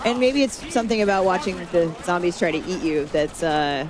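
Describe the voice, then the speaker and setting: A man's voice: high voice, expressive, moderate pace, low-quality recording. A man hosting a casual live panel chat and interviewing guests, talking conversationally. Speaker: panel host interviewing game developers. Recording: busy crowd noise behind.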